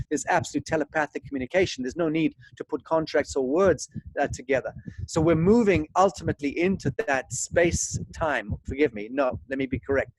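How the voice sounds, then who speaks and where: A middle-aged man from Zimbabwe speaks as an interview guest, earnest and halting, with grandiose wording. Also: a little high, darkish tone. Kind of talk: spiritual monologue in a video interview. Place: large open room with thatched roof. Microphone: video call, device at a short distance. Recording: noisy video call audio with clicks.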